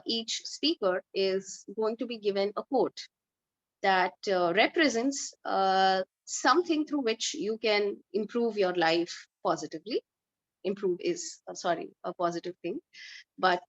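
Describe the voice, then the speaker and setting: Woman hosting a club meeting, many uhs. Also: mid-range voice. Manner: measured, many filler uhs. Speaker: table topics master. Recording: dull lo-fi online call audio.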